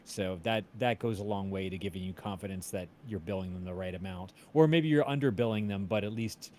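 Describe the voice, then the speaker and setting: A man's voice: deep voice, brisk, a little mellow, slight background noise. A middle-aged man chatting casually as a co-host, with a wry conversational manner. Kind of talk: casual chat.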